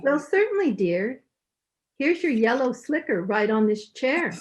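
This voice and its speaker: A kindly, scatterbrained older landlady, acted by a woman in an old-time radio comedy. Her voice sits fairly high and moves in very lively swoops as she fusses in a motherly way. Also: measured pace, a little hiss or hum. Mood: fussing, sweet.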